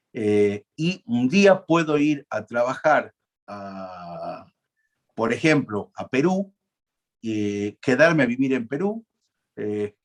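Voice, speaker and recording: A man delivers a university law lecture at a moderate, middle pitch. He speaks conversationally, pausing on fillers and restarting phrases. He keeps turning to the class with questions. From Argentina.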